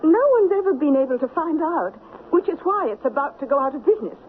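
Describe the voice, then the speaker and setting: A woman's voice: sits high, lively intonation, quick pace. An actress playing an earnest, fretful female stockbroker who speaks properly and emphatically in old-time radio drama style. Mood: flustered, earnest. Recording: tinny, hissy old radio recording.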